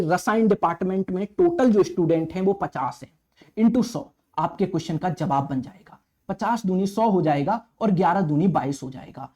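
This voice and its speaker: A man's voice: sits high, warm tone. A man teaching maths step by step, speaking to students familiarly with frequent check questions and repetition. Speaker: maths teacher for exam coaching. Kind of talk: energetic classroom lecture working through problems step by step.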